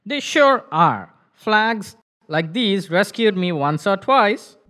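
Man playing a slow-talking, dreamy old grandpa fisherman. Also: high-pitched, lively intonation, sharp tone, exaggerated voice. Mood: wistful. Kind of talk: puppet show storytelling for children.

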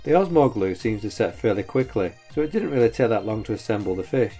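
A man's voice: lowish voice, expressive, quick pace, dark tone. A man in his forties, host of a woodworking channel, giving a plain, matter-of-fact scripted voice-over that is openly self-critical about his work. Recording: voice-over with faint music behind.

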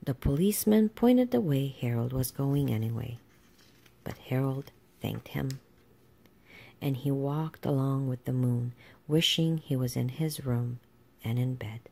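A woman's voice: a bass voice, unhurried, creaky, mellow. A woman reading a children's storybook aloud as a narrator. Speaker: storybook reader.

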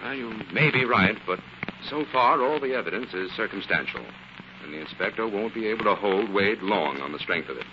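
Low-voiced, lively scientist sleuth played by a man. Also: brisk, lightly acted. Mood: skeptical. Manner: assured, explanatory. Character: calm, analytical scientist crime-fighter. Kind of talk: 1940s radio drama acting. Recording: muffled, very noisy 1940s radio recording.

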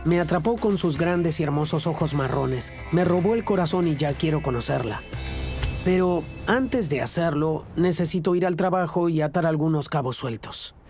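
A man's voice: a little high, moderate pace, a little mellow, lightly acted. An actor with a Latin American Spanish accent dubbing a lovestruck, earnest middle-aged man in a reality show. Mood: smitten, upbeat. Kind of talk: dubbed reality show talk and confessional. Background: background music.

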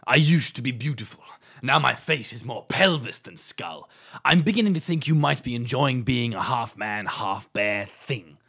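A man's voice: mid-range voice, expressive, normal speed, creaky, fairly bright tone, husky, exaggerated voice. An actor playing a vain, self-important but polite insect-man leader with grand ambitions in an audio drama.

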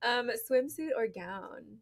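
A woman's voice: a little high, expressive, takes it slowly, vocal fry. A young woman from Colorado hosting a casual podcast interview in informal, slangy talk, close to a studio microphone. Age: young adult. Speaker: podcast host. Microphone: close studio microphone on boom arm.

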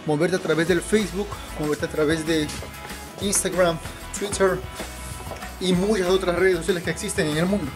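Male travel vlogger, twenties, high-pitched, instructive and informal, recorded on city streets over music. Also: steady tone, moderate pace. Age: 20s. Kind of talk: informal advice vlog to camera. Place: outdoors in city square and narrow streets. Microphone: camera at arm's length.

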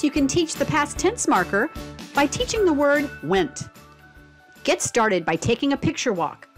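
Woman, animated intonation, children's read-aloud, instructive, expressive, music in the background. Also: on the high side. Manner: expressive, addresses young listeners with questions. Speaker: read-aloud narrator and presenter.

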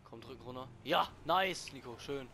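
A young man giving casual, excitable commentary while playing a racing game, swearing freely and bursting into shouts. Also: mid-range voice, moderate pace, creaky, a little hiss or hum. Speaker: gaming co-host (Max).

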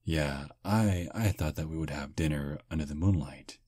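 A man playing a deep, charming vampire. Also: moderate pace, no echo, clean studio sound. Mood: smooth flirting. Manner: soft, flattering, gentlemanly. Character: charming polite male vampire on a first date. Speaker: voice actor. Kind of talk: ASMR roleplay.

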